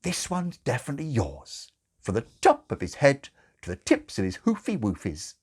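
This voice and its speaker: A man voicing a helpful, scatterbrained woman, a nurse nun in a storybook, gushing and hurried in her reassurance. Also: medium pitch, huge pitch swings, darkish tone, slightly rough, voice pushed up. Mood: hurried, reassuring.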